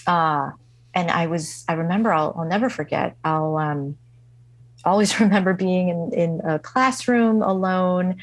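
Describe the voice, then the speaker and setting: A woman guest recounts a personal story in a slow, reflective way, pausing often and restarting her sentences. Her voice sits a little low for a woman, and it is recorded dry, with no room sound. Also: no room sound. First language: not English. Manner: halting, many fillers and restarts. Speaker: guest musician.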